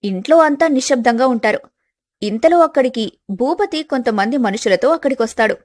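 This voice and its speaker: A woman's voice: high voice, animated, a little fast, darkish tone. A woman reading scripted dramatic narration as a storyteller.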